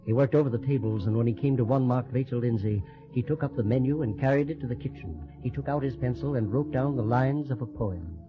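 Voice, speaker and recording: A man's voice: medium pitch, fast talker, hardly any pauses, music in the background, close to an everyday voice. A man narrating a vintage radio drama in a solemn, lyrical, scripted storytelling style. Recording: muffled vintage radio recording.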